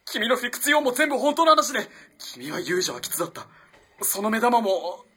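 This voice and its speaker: An actor playing an earnest, lovestruck young student in an early twentieth-century Japanese radio play, halting and moving between hushed and impassioned delivery. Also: male voice, high-pitched, sing-song, breathy edge, exaggerated voice. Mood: agitated, pleading. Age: young adult. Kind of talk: dramatic radio play acting.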